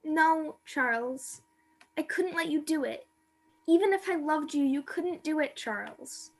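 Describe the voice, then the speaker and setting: A woman's voice: high-pitched, unhurried. An actress in a radio play playing a naive, romantic young woman who confides her longing earnestly. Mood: resolute, sad.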